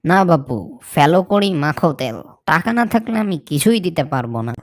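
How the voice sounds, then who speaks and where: A man's voice: fairly high pitch, big pitch swings, fairly quick. A man voicing a curt, stubborn village shopkeeper in a cartoon folk tale. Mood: curt, refusing.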